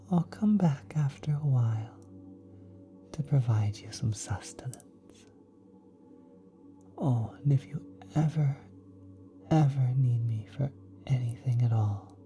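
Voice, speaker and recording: A woman voicing a kind, nurturing celestial being in a soft, breathy, often whispered ASMR roleplay, gently reassuring the listener. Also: extremely low pitch. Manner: gentle, reassuring, hesitant, coaxing. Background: spacey ambient music.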